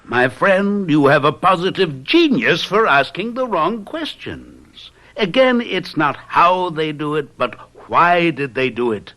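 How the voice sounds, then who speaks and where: An actor playing a shrewd, patronizing gentleman detective in a radio mystery drama, courtly and self-assured, with a pompous, teasing, musing manner. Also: on the high side, animated, unhurried. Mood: condescending, probing.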